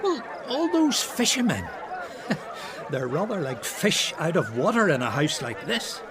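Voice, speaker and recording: A sneering, chuckling party guest. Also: male voice, fairly high pitch, sing-song, a little slow, a crowd in the background. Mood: mocking. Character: mocking older man, snobbish party guest.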